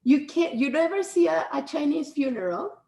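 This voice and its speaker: Woman, Spanish accent, high voice, echoey room, loose chatty delivery with lots of restarts. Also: lively intonation, moderate pace, vocal fry, dark tone. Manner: rambling, many restarts and "right?" tags. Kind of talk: casual conversation.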